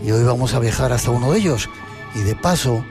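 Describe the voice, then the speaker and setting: A man's voice: mid-range voice, lively intonation, fairly quick, over music. A man hosting a programme and interviewing a guest. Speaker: radio or TV programme host, interviewer.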